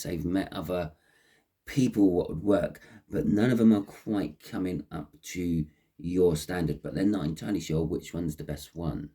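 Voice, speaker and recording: A man with a croaky voice and a head cold, giving an unscripted tarot reading in a halting, repetitive way. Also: deep voice, mellow. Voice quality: croaky, husky. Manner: halting, repeating phrases as he explains. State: has a cold with a runny nose. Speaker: tarot reader and host.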